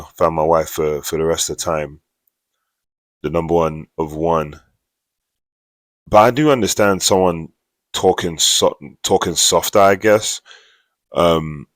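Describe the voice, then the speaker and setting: Deep-voiced male host, dry close mic, animated and repetitive when making a point. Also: no echo. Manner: animated, repeats phrases for emphasis. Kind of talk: casual commentary.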